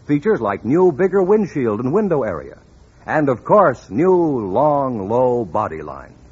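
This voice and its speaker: A man's voice: medium pitch, sing-song, unhurried, slightly rough. A man reading a scripted 1950s radio commercial as an announcer, with emphatic salesman delivery. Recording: muffled old radio recording.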